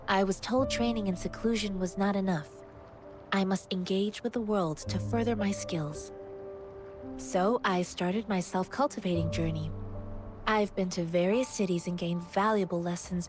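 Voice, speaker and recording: A woman's voice: mid-range voice, unhurried, music in the background, lightly acted. An actress playing an earnest, kind-hearted young woman martial-arts wanderer in a video game, speaking politely and sincerely. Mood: calm, thoughtful.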